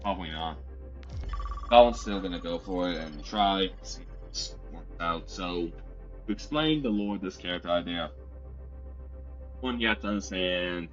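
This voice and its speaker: A man's voice: deep voice, moderate pace, pauses often. A man with what sounds like a mixed American and Australian accent, giving rambling, casual gameplay commentary as a streamer. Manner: rambling, refers to self in third person as Goblin. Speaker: gamer streamer. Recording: muffled lo-fi, loud game audio and music. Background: loud music and game sounds.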